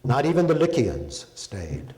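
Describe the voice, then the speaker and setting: A man in his sixties giving a solemn, deliberate dramatic recital of epic poetry in a hall. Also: medium pitch, sing-song, darkish tone. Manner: measured, deliberate, solemn phrasing. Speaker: professor and translator reading. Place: indoor hall, public reading. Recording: echoey hall with long reverb.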